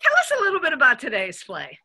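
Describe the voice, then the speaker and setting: Bright, highly animated female host. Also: quick pace. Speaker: show host. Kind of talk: casual hosting.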